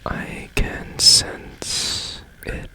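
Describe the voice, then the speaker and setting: A man whispering in a breathy, deliberate way up close to the mic, in an ASMR roleplay as a mysterious repairman. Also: pushed and bright. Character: strange secretive man repairing a broken robot. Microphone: close ASMR microphone.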